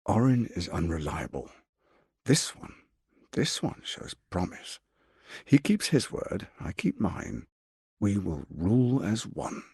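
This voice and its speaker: Pompous scheming tyrant with wildly swooping delivery. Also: male voice, low-pitched, big pitch swings, slow pace, gravelly, a little mellow, husky, no room sound, studio quality, exaggerated voice. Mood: calculating. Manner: pompous, theatrical, articulate, condescending. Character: scheming, silver-tongued tyrant archduke, grandiose and menacing. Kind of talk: theatrical video game voice acting.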